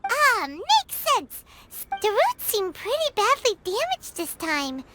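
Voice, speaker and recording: Small childlike fairy companion, female, for a video game: squeaky, sky-high pitch, chatty, informal, lively swings, noisy clicky background. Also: takes it slowly, full character voice. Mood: curious. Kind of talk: scripted video game voice acting.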